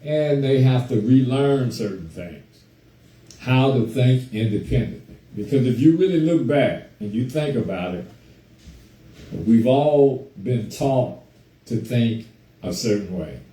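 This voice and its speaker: A man hosting a casual talk show on a group call, hoarse from a cold, chatting easily and greeting listeners by name. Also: mid-range voice, animated, measured pace, mellow, echoey room, distant mic, rough recording. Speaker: host.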